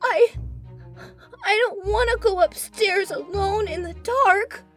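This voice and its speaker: A woman's voice: very high-pitched, takes it slowly, soft background music, pitched above the performer's own voice. A woman voicing a frightened six-year-old girl who is crying and stammering. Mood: scared tearful. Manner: stammering, tearful. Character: frightened little girl, about six, sobbing.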